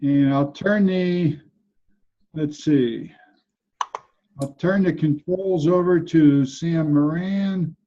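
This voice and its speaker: A man handing over control of an online meeting, slow and a little unsure of the controls. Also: on the high side, mellow, highs cut off. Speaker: meeting organizer. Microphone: video call.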